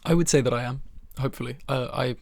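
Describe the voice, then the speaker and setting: Gravelly, husky soft-spoken young man. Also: mid-range voice, quick pace, no room sound. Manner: rambling, self-deprecating, with soft laughs. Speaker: ASMR creator. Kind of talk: soft-spoken ASMR chat. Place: bedroom.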